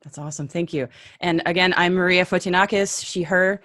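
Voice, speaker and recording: A woman with a notably low voice hosts a panel over a video call, cheerful and encouraging as she hands the floor around. The sound is close and dry. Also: steady tone, a bit bright, no echo. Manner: upbeat, quick thanks, light laughs. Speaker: panel moderator. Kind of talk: moderating a panel.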